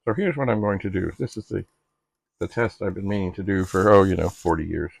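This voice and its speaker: A middle-aged man narrating a casual hands-on demonstration, musing haltingly aloud to himself with droll, slightly formal turns of phrase. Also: low voice, creaky, no room sound. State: frequent coughing and throat clearing. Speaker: retro computer hobbyist and video host.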